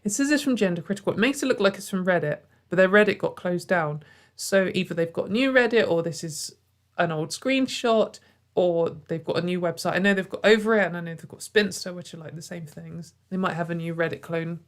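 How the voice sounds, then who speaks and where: A woman's voice: lowish voice, brisk. A woman hosting a casual commentary video from home, sarcastic and exasperated, with frequent sighs and fillers.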